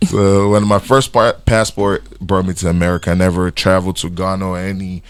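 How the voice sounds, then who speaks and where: A man's voice: deep voice, level tone, fairly quick, smooth. A Nigerian man with a Nigerian accent, talking casually as a guest musician and often restarting and repeating words. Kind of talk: casual interview chat. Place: radio studio.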